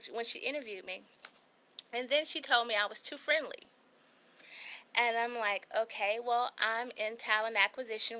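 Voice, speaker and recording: A woman's voice: on the high side, pushed and bright, low-quality recording. A woman in her forties with a small, light voice, telling her own story as a guest on a talk show, earnest and candid. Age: 40s.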